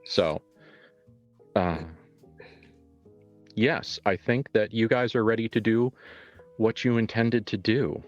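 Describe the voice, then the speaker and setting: Deep-voiced man running a tabletop game. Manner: halting, deliberate phrasing. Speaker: game master. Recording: dull audio with music underneath.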